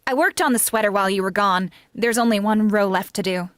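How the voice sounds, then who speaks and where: A woman's voice: medium pitch, fairly quick, no echo, clean studio sound, natural voice. A woman playing a loyal, matter-of-fact housemaid in an old-time radio comedy. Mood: helpful. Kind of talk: 1940s radio comedy acting.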